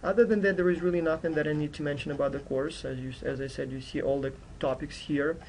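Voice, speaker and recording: Non-native English-speaking male instructor, creaky voice. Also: on the high side, steady tone, quick pace, a little mellow, a little hiss or hum, poor audio. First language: not English, which is his fourth language. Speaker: university instructor. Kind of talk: explanatory course introduction lecture. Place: indoors at a computer.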